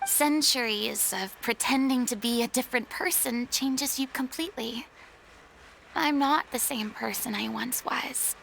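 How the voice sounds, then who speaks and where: An actress playing a proud, theatrical young former star in a video game, with a haughty, dramatic and formal delivery. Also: female voice, high-pitched, a little slow, gravelly, a bit bright, slight background noise, exaggerated voice, low-quality recording. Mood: resigned, firm. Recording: background music under the voice.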